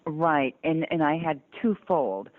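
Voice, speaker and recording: An older woman from the southern United States telling personal stories earnestly and deliberately as an interview guest. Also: low-pitched, expressive, no room sound. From southern United States (Georgia, North Carolina). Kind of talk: personal storytelling in conversation. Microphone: telephone. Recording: muffled narrow phone-line sound.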